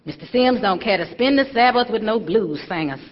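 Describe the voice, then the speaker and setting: A woman's voice: medium pitch, lively intonation, fairly quick, big-room sound, dull audio, natural voice. An actress playing a stern, churchgoing mother who scolds in folksy, emphatic speech. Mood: disapproving. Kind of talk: stage drama acting.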